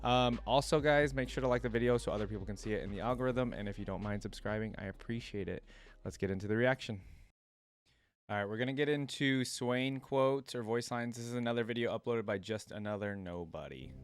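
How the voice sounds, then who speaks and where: A man's voice: medium pitch, fairly quick. A man hosting a casual reaction video, musing aloud with lots of fillers and repeating lines back to himself.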